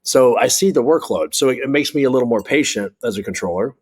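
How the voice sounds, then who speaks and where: A man's voice: medium pitch, animated, fast talker, slightly rough. Blunt American man explaining his job. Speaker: air traffic controller, interview guest. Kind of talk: candid conversational interview.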